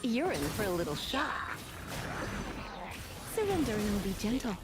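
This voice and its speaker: A woman's voice: mid-range voice, sing-song, moderate pace, loud music behind the voice, close to an everyday voice. A woman playing a confident young fantasy game fighter, teasing and taunting her opponents. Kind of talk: video game battle callouts.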